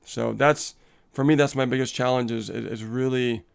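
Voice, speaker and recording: Gravelly, smooth-voiced motivational man. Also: medium pitch, steady tone, moderate pace. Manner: upbeat, motivational, laughs often, reads viewer comments aloud. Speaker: co-host of a live coaching stream. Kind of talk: casual live-stream chat.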